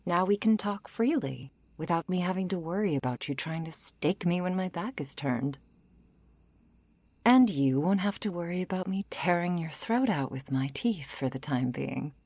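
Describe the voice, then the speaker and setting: A woman playing a seductive, menacing undead vampire in an intimate ASMR roleplay, coaxing and softly threatening, often slipping into whispers. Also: expressive, moderate pace, no room sound. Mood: smug, composed. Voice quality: low, breathy, creaky.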